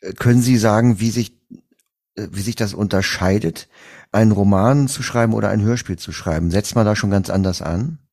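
Low, creaky-voiced man asking questions. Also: fairly quick, darkish tone. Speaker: interviewer. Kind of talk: interview questions, conversational.

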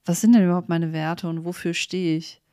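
A woman's voice: fairly low pitch, fairly even intonation, a little fast, dark tone, no echo, clean studio sound. A woman co-hosting a podcast, chatting casually and reflectively in an emphatic, candid and self-deprecating way. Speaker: podcast co-host.

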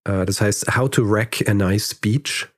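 A man's voice: on the low side, unhurried, dry recording. Man, casual podcast storyteller, dry recording. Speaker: history podcast host telling the episode's story.

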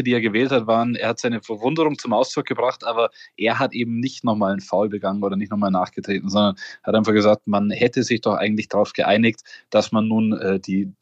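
Low-voiced man, dull lo-fi podcast sound. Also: dry recording. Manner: chatty, many fillers, gently mocking. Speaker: podcast co-host, reporter. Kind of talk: casual sports podcast chat.

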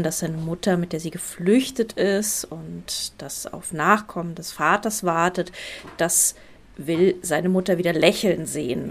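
A woman interviewer summarizing a book and then asking several questions in a row. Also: fairly low pitch.

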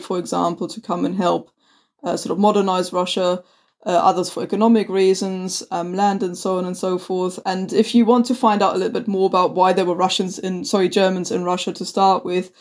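A woman's voice: medium pitch, darkish tone. A woman co-hosting a conversational history podcast, chatty, with many restarts and fillers. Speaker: podcast co-host.

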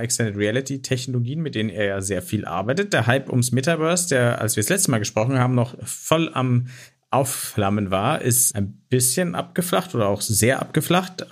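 Measured male interviewer. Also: medium pitch. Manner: measured, long winding questions. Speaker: interviewer, host. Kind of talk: interview questions.

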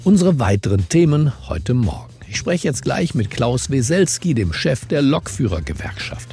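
A man hosting a news briefing, presenting scripted items and questions in a pointed, deliberate way with dramatic pauses. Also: medium pitch, big pitch swings, music in the background. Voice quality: gravelly, husky. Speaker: host of a news briefing podcast. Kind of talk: scripted news narration and interview.

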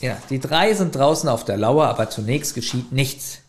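A man's voice: mid-range voice, lively intonation, moderate pace. A man co-hosting a casual podcast, reading a plot summary aloud and breaking off for chatty side comments. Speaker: podcast co-host.

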